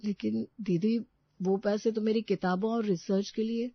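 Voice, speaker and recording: A woman playing a naive, gentle young male student in a cartoon story, hesitant, earnest and deferential. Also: mid-range voice, fairly even intonation, moderate pace, no room sound, dull audio, lightly acted.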